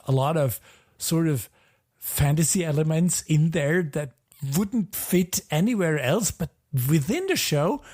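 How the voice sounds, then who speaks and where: A man's voice: fairly high pitch, a little mellow. A middle-aged man co-hosting a podcast in an enthusiastic, easily amused, casual chatting style. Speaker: podcast co-host. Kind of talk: casual chat about TV shows.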